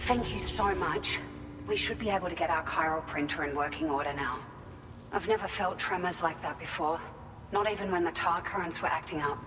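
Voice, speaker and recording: An actress playing a caring, chatty facility worker in a video game, speaking in a grateful, concerned, conversational way. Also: female voice, fairly low pitch, brisk, over music, close to an everyday voice. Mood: relieved, grateful. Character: warm-hearted, concerned facility worker receiving cargo. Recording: long reverberant hall, clear background noise.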